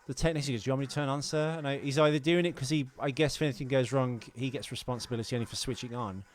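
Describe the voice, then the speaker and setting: A man's voice: mid-range voice, steady tone, very fast. A British man co-hosting a film podcast, explaining things in an informal, jokey, conversational way. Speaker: podcast co-host. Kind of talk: casual film commentary chat.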